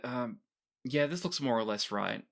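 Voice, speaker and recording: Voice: medium pitch, expressive, a little slow, gravelly. Polite, hesitant, dazed crewman played by a game master. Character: kind, dazed, professional male crewmate.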